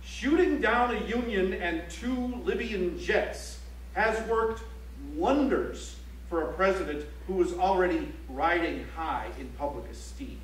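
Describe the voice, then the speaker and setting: A man playing a grave, pointed political chronicler, reciting formally on stage in a measured, emphatic way. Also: sits high, animated. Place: library auditorium stage. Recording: echoey auditorium, distant mic, faint hum.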